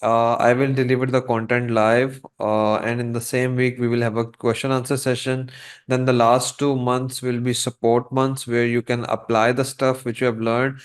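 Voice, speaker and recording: A man whose first language is Urdu or Hindi, an online course instructor explaining his training program to viewers in a measured, hesitant way. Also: mid-range voice, level tone, smooth.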